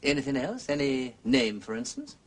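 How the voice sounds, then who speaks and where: An actor playing a genial aristocratic detective in a period drama, urbane and effusively polite, with an upper-class English accent. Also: male voice, medium pitch, very animated, normal speed, slightly rough. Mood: reassuring, probing.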